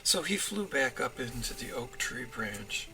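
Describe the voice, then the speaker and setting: A man reading his children's story as narrator, in an expressive storytelling manner. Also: medium pitch, a little slow, vocal fry, faint music behind, low-quality recording. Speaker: author reading own storybook.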